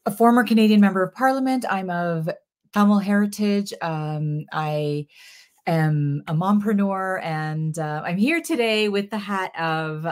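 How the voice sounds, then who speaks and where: A woman's voice: mid-range voice, a little slow, a little mellow. A woman in her forties from Sri Lanka, a guest filmmaker answering interview questions earnestly in bursts with many fillers, over a video call from a home office.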